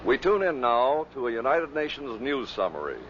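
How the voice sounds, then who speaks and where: A man's voice: medium pitch, sing-song, normal speed, a bit bright. A man narrating in a scripted old-time radio style, introducing clips as the host. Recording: dull vintage radio recording, faint noise.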